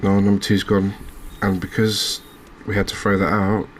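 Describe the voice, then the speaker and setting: Casting: male game streamer, deep and flat-toned, understated ASMR commentary, slight background noise. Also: moderate pace. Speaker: gaming channel host.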